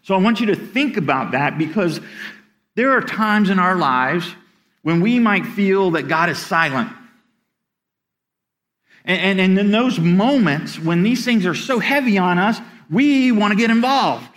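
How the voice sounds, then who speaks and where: A man's voice: high voice, lively intonation, unhurried, fairly bright tone. A middle-aged man preaching a sermon as pastor, earnest, often repeating words as he starts a sentence.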